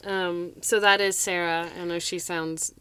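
A woman's voice: lowish voice, little pitch movement, a little slow, a bit bright, smooth. A young woman, co-hosting a podcast in an easygoing, giggly way. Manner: easygoing, giggly, many fillers. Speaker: podcast co-host. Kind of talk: casual chat. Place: small closet in a home.

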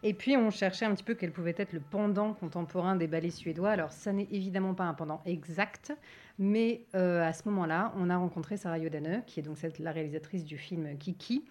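A woman speaking as a guest on a radio show, explaining an exhibition while pausing to find her words. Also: on the low side, a little mellow. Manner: searching for words, many pauses. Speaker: guest, art historian. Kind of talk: radio interview answers.

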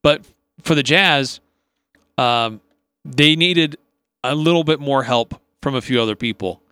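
A man co-hosting sports radio, giving off-the-cuff game analysis in a halting, thinking-aloud way. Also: mid-range voice, bright, forceful tone, clean tone, dry recording.

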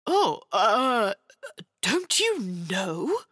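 A woman plays a tiny, earnest knight from a children's fairy tale. The delivery is gallant and solemn, with flustered moments, very animated intonation and a measured pace, in a close, dry recording. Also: medium pitch, no echo, heavily acted.

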